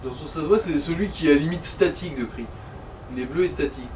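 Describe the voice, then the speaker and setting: A man with a fairly high voice chats casually as a gaming co-commentator. He is slangy and teasing. He sits a little away from the mic, with faint noise behind him. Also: fast, far from the mic. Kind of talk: casual gameplay chat.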